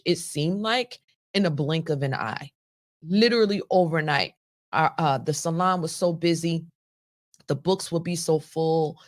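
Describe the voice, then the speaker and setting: A woman hosting a podcast, her voice raspy and hoarse from laryngitis, speaking straight to listeners in an upbeat, emphatic, motivational and folksy way. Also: low voice. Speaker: podcast host and business coach.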